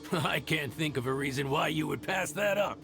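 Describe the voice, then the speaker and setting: An actor playing a smug, sly male mercenary who bargains smoothly, laughs mockingly and threatens. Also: on the high side, normal speed, music in the background.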